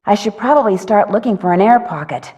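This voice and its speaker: A woman's voice: mid-range voice, expressive, brisk, warm tone, breathy edge, dry recording. An actress playing a plucky, curious young American woman detective who thinks aloud in a wry, scripted adventure game style. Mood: worried. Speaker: video game voice actor.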